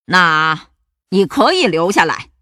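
A woman's voice: on the low side, big pitch swings, slow pace, sharp tone, dry recording, clean studio sound, voice pushed down, full character voice. A woman playing a bossy, mocking male pirate captain in a children's story, speaking in a commanding, emphatic way. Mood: proud, gruff.

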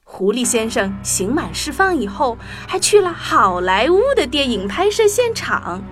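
A woman tells a children's story in a fairly high, swooping voice. Her narration is expressive and aimed at young listeners, with music softly behind her. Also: measured pace.

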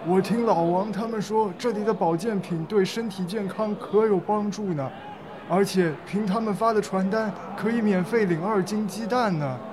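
A man plays a credulous old neighborhood resident in a radio drama. The voice is high for a man and chatty and eager, with a busy crowd around. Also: normal speed, natural voice. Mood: curious. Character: chatty, gullible elderly community resident. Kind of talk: amateur legal-education radio play.

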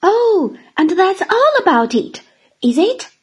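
A woman's voice: very high voice, sing-song, takes it slowly, voice pushed up, heavily acted. Woman voicing a chattering sly little lady. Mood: teasing. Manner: rattling, teasing, exclamatory.

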